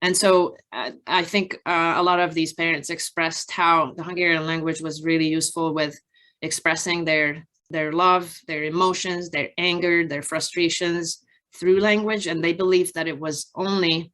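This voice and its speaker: Woman presenting research, slightly low voice. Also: steady tone, a bit bright. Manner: measured, halting, explanatory, with frequent pauses. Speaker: academic researcher presenting study findings. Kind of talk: conference research presentation, partly improvised from slides.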